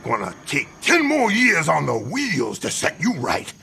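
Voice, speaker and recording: Gravelly, cruel old father. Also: fairly high pitch, huge pitch swings, a little slow, bright, forceful tone, full character voice. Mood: angry, berating. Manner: contemptuous, berating. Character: cruel, bullying old father scolding his grown son.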